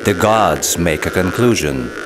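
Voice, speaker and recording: Slow, low man narrator, noisy recording. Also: lively intonation. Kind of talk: scripted epic narration. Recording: loud ambient noise.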